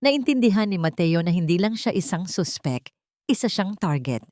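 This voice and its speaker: A woman's voice: lowish voice, lively intonation, moderate pace, darkish tone, no echo, studio quality. A woman narrating a scripted dramatic story.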